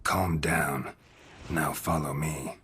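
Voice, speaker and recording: An actor playing a terse, deadpan, dry-witted monster hunter for hire in a video game. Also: male voice, very deep voice, slow, noisy background. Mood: dry, firm.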